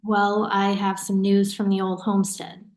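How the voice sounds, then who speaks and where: Woman acting caring big-hearted sister in a play, teasing, bit suspicious, natural talky delivery, mid pitch. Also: completely flat delivery, moderate pace, a little mellow, natural voice, poor audio. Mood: concerned. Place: remote online staged reading.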